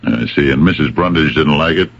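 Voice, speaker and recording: Very deep, gravelly police captain. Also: male voice, fairly bright tone, full character voice. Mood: methodical. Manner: halting, probing, deceptively bumbling. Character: dogged, plain-spoken male police captain questioning suspects. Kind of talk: 1970s-80s radio drama acting. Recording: dull lo-fi old radio recording.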